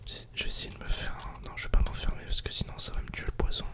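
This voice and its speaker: Whispering woman doing ASMR game commentary. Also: fast talker. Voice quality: breathy, unvoiced whisper. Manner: murmuring running commentary to self and viewers. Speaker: video game streamer, ASMR creator.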